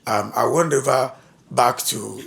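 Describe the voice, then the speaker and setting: Gravelly man speaking at a community dialogue. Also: medium pitch, fairly bright tone. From Africa. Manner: halting, repeats words, laughs between points.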